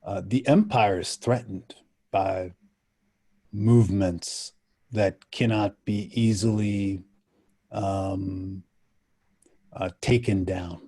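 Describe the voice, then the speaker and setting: Male panelist from Ethiopia, low and mellow, deliberate reflective delivery with emphatic passages. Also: steady tone, husky, dry recording.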